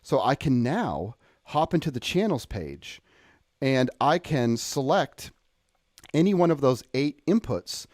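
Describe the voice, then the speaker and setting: A man walks through a technical demonstration in an informal, explanatory style. His delivery is unpolished and a little apologetic, as if thinking aloud. He has a middling pitch, and the sound is close and dry, with very little room. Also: big pitch swings, slow, darkish tone, no room sound. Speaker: presenter demonstrating audio software. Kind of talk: informal tutorial walkthrough.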